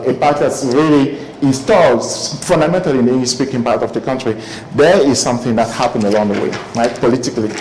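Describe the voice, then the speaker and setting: A man's voice: a little high, a little mellow, a little hiss or hum. A man giving a talk to a live audience, thoughtful and hesitant, with many fillers and restarts. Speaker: speaker addressing an audience. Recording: echoey room.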